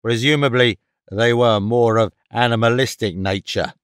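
A man voicing a gruff, domineering, bigoted father in an audiobook, curt and commanding. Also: medium pitch, moderate pace, a bit bright, no echo, studio quality, close to an everyday voice. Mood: angry, scornful. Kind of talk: audiobook character dialogue.

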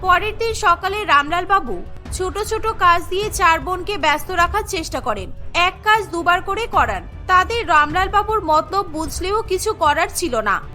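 A woman's voice: extremely high pitch, lively intonation, moderate pace, sharp tone. A woman narrating a scripted cartoon story as its storyteller. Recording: background music bed.

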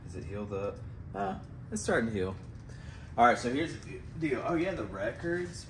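A man in his thirties hosting a casual storage-unit vlog, chatty and excited, talking straight to viewers as he digs through boxes in a storage hallway. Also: medium pitch, normal speed, slight background noise. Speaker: storage unit treasure hunter host. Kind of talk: casual vlog unboxing chat. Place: indoor self-storage hallway.

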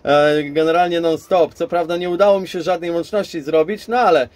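A man's voice: a little high, fairly even intonation, fairly quick, darkish tone. A man chatting casually as an amateur radio operator, rambling a little and repeating himself. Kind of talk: casual radio contact chat.

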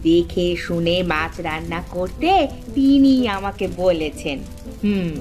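A woman voicing a naive, simple-minded young wife in a cartoon, with plaintive, singsong, drawn-out exclamations. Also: on the low side, expressive, a little mellow, soft background music. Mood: flustered, earnest. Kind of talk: cartoon voice acting.

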